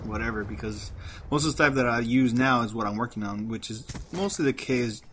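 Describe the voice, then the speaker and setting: A man's voice: mid-range voice, a little fast, fairly bright tone. A man with a light Mexican accent, rambling through casual gameplay commentary and laughing at himself. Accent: light Mexican Spanish. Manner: rambling, self-deprecating, frequent restarts and laughs. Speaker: gaming commentator.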